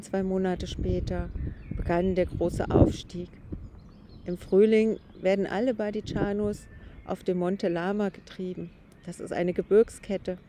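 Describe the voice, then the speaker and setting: A woman speaks as a gentle gelding in a meditation story, reflective and plain-spoken. The voice is very dark and mellow. It is recorded outdoors, with birds and faint noise behind it. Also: fairly low pitch. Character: gentle twelve-year-old gelding horse telling his life. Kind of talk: first-person meditation storytelling.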